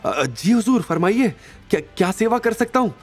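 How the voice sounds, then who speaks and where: A man's voice: sits high, very animated, normal speed, soft background music, pitched above the performer's own voice. A man voicing a boastful reformed underworld hitman in an audio drama, speaking in a dramatic, awed storytelling manner. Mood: obsequious.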